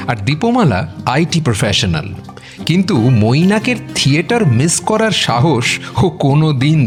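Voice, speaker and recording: Expressive male storyteller with animated intonation. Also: a little high. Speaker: narrator. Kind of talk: scripted dramatic narration of a romantic story.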